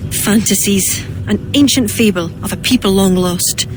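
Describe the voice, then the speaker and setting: A woman playing an earnest, sorrowful druid in a video game cutscene, speaking in measured, formal phrases. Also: medium pitch, music in the background, close to an everyday voice, rough recording. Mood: regretful, confessional. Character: earnest, sorrowful female druid, former cult member. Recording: game ambience noise behind voice.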